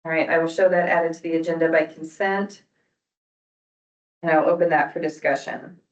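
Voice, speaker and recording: Female chair, slightly low voice, formal procedural wording, dull roomy sound. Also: fairly even intonation, normal speed. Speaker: commission chair. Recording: roomy, distant, muffled meeting-room audio.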